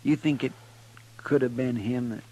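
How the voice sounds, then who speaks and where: A man's voice: mid-range voice, fairly even intonation, normal speed, dark tone, natural voice. A man playing an earnest, folksy deputy sidekick in a 1950s radio western, hesitant and deferential. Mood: musing. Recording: faintly noisy old radio recording.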